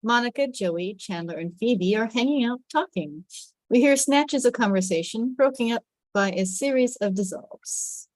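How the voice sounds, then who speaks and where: A woman's voice: a little high, expressive, moderate pace, darkish tone, no room sound, poor audio. A woman on a video call, introducing herself and reading a script aloud. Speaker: actor reading stage directions.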